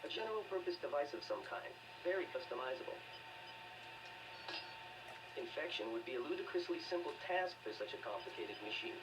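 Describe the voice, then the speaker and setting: A man's voice: medium pitch, very animated, brisk, close to an everyday voice. An actor playing a thoughtful, plain-spoken male scientist ally in a video game. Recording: noisy distant game capture.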